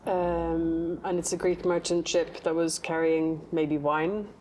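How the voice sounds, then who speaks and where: A woman from Norway, an artist, calmly answering interview questions about her work. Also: deep voice, takes it slowly, a little hiss or hum.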